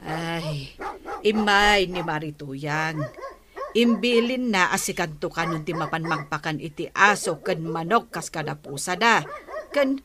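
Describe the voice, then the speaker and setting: A woman's voice: on the low side, a little slow, lightly acted. A woman playing a chatty, sympathetic village wife in an Ilocano radio comedy drama, with an Ilocano Filipino accent. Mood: explaining. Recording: clear background noise, clicks.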